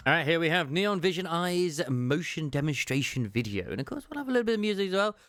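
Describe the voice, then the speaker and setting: A man's voice: fairly high pitch, big pitch swings, quick pace, fairly bright tone, no room sound, clean studio sound. Chatty man with music behind him. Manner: chatty, self-correcting asides. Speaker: gaming video host. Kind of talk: casual game kit commentary, reading skill text aloud.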